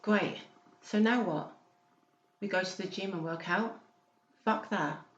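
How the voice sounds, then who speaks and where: A woman's voice: mid-range voice, slow, natural voice. A woman playing a blunt, sceptical friend who questions everything, in a dramatised audiobook. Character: Sam, blunt, sceptical female friend and fellow escapee. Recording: roomy, slightly dull sound.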